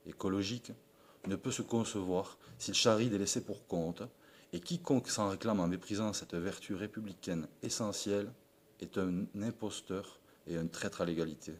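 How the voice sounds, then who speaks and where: A man's voice: low-pitched, normal speed. A man from France reading a formal, earnest political message of support, with a declamatory tone and the odd slip of the tongue. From France (Perpignan). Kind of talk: read political address.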